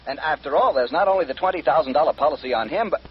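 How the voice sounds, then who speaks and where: Flustered office man on old radio, real high voice, sputtery, pitch all over, muffled. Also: lively intonation, rapid-fire, slightly rough. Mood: flustered, alarmed. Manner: sputtering, exasperated. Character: flustered, excitable insurance company man. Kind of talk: 1950s radio acting.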